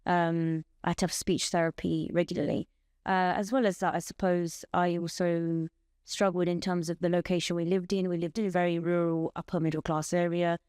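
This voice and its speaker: Bangladeshi-born woman in her thirties, Bengali her first language, recounting her life as a podcast guest. She speaks in an earnest, measured way, running through long lists, with a lowish voice. Close mic and dry sound. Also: flat delivery, a little mellow, clean tone, no room sound. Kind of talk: personal testimony in an interview.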